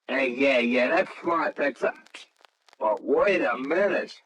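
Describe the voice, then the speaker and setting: An actor playing a slow-witted, excitable city cab driver with stammering, slangy patter in old-time radio style. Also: male voice, medium pitch. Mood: eager, befuddled. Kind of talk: 1940s radio acting. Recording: noisy old radio drama recording.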